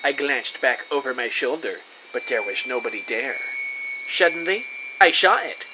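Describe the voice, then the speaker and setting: An actor playing an earnest, excitable, bumbling amateur detective, narrating his case melodramatically in an audio drama. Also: medium pitch, moderate pace, heavy background noise, lightly acted. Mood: wary, curious. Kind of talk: scripted first-person audio drama narration.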